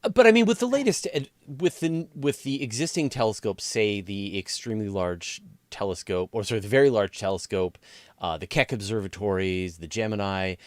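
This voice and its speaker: Low-voiced man hosting, close dry sound. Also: no echo. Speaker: interview host. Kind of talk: conversational science interview.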